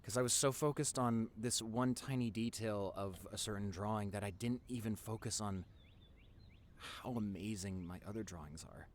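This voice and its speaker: A man's voice: fairly low pitch, moderate pace, slight background noise, lightly acted. A man playing a nerdy, introverted young boyfriend in an intimate audio roleplay, earnest and rambling with a nervous stammer. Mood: earnest, wistful. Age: young adult. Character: nerdy introverted young boyfriend, wacky, tender, passionate artist.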